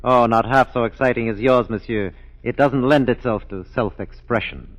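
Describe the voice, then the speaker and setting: A man's voice: mid-range voice, moderate pace, lightly acted, low-quality recording. A man acting a courteous but jealous police inspector in a 1940s radio drama, formal, clipped and drily probing. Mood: dry, probing.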